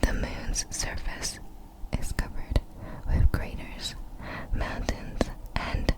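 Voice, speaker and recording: A woman whispering ASMR narration of facts in a breathy, fully unvoiced whisper, with careful, deliberate phrasing. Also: low-quality recording. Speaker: ASMR creator.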